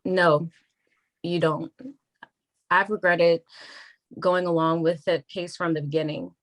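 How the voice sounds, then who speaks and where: A woman playing a wary, frank government attorney in a naturalistic script read, measured and confiding. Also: on the low side, steady tone. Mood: frank, analytical.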